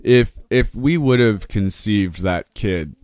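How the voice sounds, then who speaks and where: A man's voice: fairly low pitch, expressive, slow pace, darkish tone, smooth, dry recording. A man in his twenties hosting a podcast, chatting casually in a rambling way, swearing freely and laughing often. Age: 20s. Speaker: podcast host. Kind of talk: casual chat.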